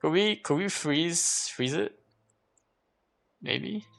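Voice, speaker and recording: A man's voice: on the high side, animated, slow pace, vocal fry, a bit bright, faint music behind. A man giving casual live gameplay commentary, thinking aloud as he repeats and questions himself. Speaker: game livestreamer.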